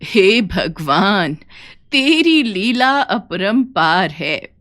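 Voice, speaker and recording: A woman voicing a gentle, devout elderly village mother in a cartoon story. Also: mid-range voice, sing-song, extremely slow, exaggerated voice. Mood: awed.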